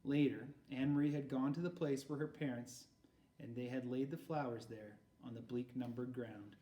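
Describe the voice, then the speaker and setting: A man narrating a storybook aloud in an expressive read-aloud style. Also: mid-range voice, moderate pace. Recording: roomy echo, far from mic.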